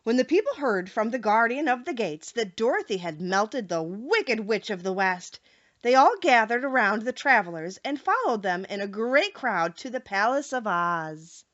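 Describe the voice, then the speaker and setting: Animated woman narrating a storybook. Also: a little high, moderate pace, lo-fi sound. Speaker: audiobook narrator.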